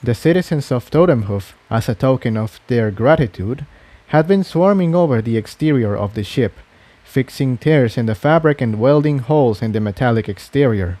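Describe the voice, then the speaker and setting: A man narrates an adventure tale from a script. His voice sits at a middling pitch, in a close, dry recording with hardly any room to it. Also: expressive, moderate pace, warm tone, no echo. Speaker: fan fiction narrator.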